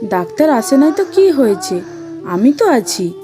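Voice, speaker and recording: High-voiced rustic housemaid, acted by a woman. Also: sing-song, a little slow, over music. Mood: determined, forceful. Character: bold village housemaid and midwife, loud, theatrical. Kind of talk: cartoon horror story acting.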